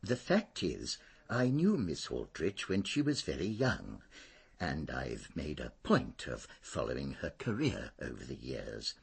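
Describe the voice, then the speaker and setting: Husky, deep-voiced, fussy old English schoolmaster. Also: male voice, huge pitch swings, measured pace, full character voice. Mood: earnest, proud. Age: older. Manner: hesitant, precise, formal, pedantic. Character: fussy, dignified, unprepossessing little ageing former schoolmaster. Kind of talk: radio drama dialogue.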